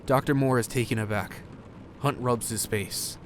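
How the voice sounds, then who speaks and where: A man's voice: mid-range voice, steady tone, normal speed, slight background noise. A man narrating an audio drama in scripted, present-tense prose.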